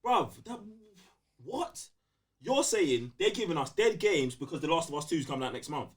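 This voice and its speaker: Animated, high-pitched London man ranting. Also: moderate pace. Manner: ranting, emphatic, repeats phrases for emphasis, slangy. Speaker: podcast host. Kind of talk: heated casual gaming podcast banter.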